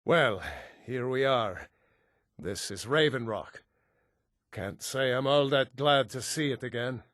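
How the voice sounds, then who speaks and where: An actor playing a weary, wary seafaring trader in a video game, talking in a plain, grumbling way. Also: male voice, mid-range voice, slow, a bit bright, dry recording, studio quality. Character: weary, wary male seafarer hauling cargo.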